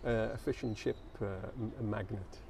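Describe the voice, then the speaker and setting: A man from South Wales with a Welsh accent, whose first language is Welsh, answering interview questions in a measured way with frequent restarts. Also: on the low side, steady tone, gravelly, darkish tone, far from the mic, slight background noise. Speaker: guest. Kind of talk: casual interview conversation.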